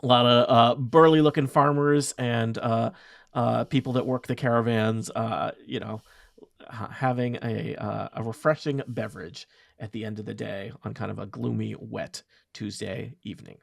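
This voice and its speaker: A man's voice: medium pitch, steady tone, moderate pace, creaky, no room sound, clean studio sound. A man narrating as game master of a tabletop game, rambling with many ums and self-corrections.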